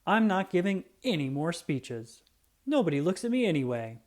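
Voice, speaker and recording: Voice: medium pitch, very animated, normal speed, lightly acted. A storybook reader as an earnest, emphatic police officer. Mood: glum. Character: earnest male police officer giving safety tips.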